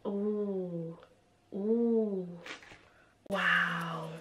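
A young woman reviewing makeup in a casual vlog, excitable and full of fillers, her voice a little rough from a cold and sore throat. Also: medium pitch. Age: young adult. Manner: gushing, excitable, many fillers. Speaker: beauty vlogger. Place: small room at home, indoors. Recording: echoey room with faint music.

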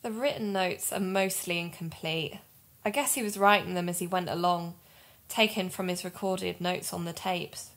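A woman's voice: on the low side, fairly even intonation, moderate pace, fairly bright tone. An actress playing an earnest, supportive female investigative podcaster in an audio drama. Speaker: podcast host.

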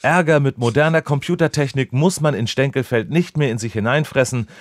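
A dutiful male radio reporter, acted by a man in a comedy news parody. He speaks in formal, wordy sentences with a deadpan manner. The voice is mid-pitched and closely, dryly recorded. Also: fairly quick, no room sound, clean studio sound, close to an everyday voice.

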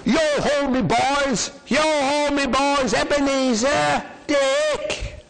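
A man voicing a merry old gentleman in a storybook, jovial and hearty, calling out in exclamations. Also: very high voice, fairly even intonation, slow pace, gravelly, voice pushed up, exaggerated voice, rough recording.

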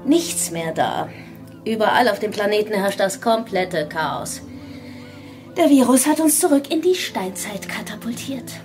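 An actress playing a grave apocalypse survivor in a radio drama, explaining things in a matter-of-fact, emphatic way. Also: female voice, medium pitch, animated, unhurried, darkish tone, soft background music, natural voice. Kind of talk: German radio drama acting.

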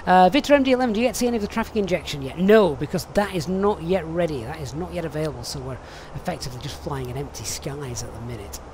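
A man's voice: a little high, fast talker, hardly any pauses, darkish tone, a little hiss or hum. Chatty male flight sim streamer. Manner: chatty, reads viewer questions aloud with many fillers. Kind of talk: live stream commentary.

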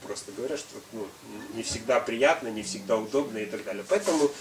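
A young man giving an informal lecture as an instructor, halting a little as he thinks aloud. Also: on the low side. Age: young adult. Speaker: seminar lecturer, parachute instructor. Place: indoor equipment hangar with small audience. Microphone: camera some metres away. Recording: echoey room, distant mic, group noise.

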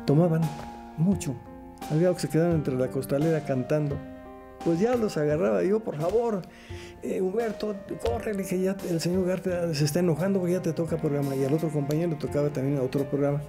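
A man's voice: a little high, steady tone, fairly quick, over music. A man with a Mexican accent recalling old colleagues in anecdotes during an interview.

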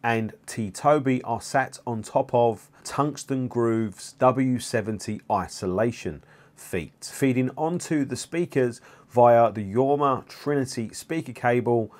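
A man's voice: medium pitch, slow pace, darkish tone. A man narrating an explanatory equipment demonstration as the reviewer and host of the show. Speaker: audio gear reviewer and channel host.

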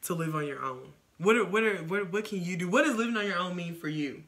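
A woman's voice: fairly low pitch, animated, fast talker, a bit bright. An American woman in her twenties chatting casually as a vodcast co-host, making her points emphatically. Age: 20s. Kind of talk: casual chat. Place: living room couch.

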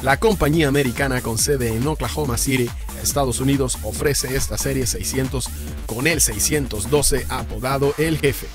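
A man's voice: medium pitch, normal speed. Man narrating car audio specs over music. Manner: reads spec lists and prices in an even explaining tone. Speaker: car audio channel host. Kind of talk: scripted product narration. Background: background music.

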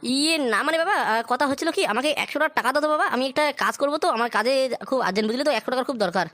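A man's voice: very high-pitched, lively intonation, rapid-fire, bright, forceful tone, no room sound, exaggerated voice. A man voicing an idle, wheedling, foul-mouthed grown son in a crude comedy cartoon. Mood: hesitant, scheming. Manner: whining, foul-mouthed, grumbling.

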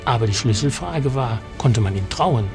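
A man speaks as a history expert in a documentary, explaining in a mid-range voice. Soft music sits faintly behind him. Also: medium pitch, animated, moderate pace.

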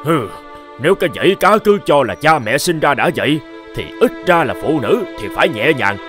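A mocking cartoon villager with a lively, swinging delivery. He gossips in a slightly high voice over music. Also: fairly quick. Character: gossiping, mocking male villagers in a folk tale. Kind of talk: Vietnamese cartoon voice acting.

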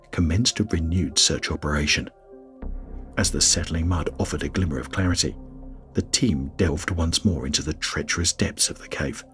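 A man reading a scripted documentary narration in a grave, measured way. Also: rumbling low. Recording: faint music bed under voice-over.

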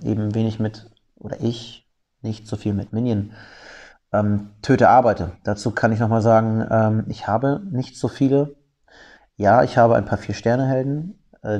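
A man with a cold gives gameplay commentary, calmly explaining each move in detail with frequent fillers. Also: fairly low pitch. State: has a cold.

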